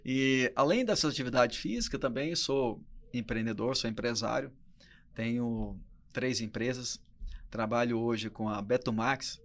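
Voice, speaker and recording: A Brazilian man in his early forties talking casually as a guest entrepreneur on a podcast. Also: medium pitch. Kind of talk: casual conversational interview talk.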